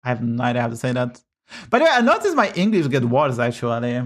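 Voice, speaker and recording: A man's voice: medium pitch, huge pitch swings, fast talker, fairly bright tone. A man with a Polish accent, a native Polish speaker, giving blunt, swear-heavy casual commentary on a game stream from a home setup. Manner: blunt, swears often, rants about bugs.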